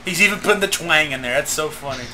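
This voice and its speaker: A jokey, excitable man hosting a gaming channel and chatting casually with friends, often cutting in. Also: fairly high pitch, quick pace. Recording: clearly noisy home voice-call recording.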